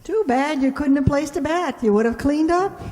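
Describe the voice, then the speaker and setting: Wry peacemaker roommate, played by a woman, high animated voice, radio comedy, echoey hall. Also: normal speed, close to an everyday voice. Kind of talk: old-time radio comedy acting.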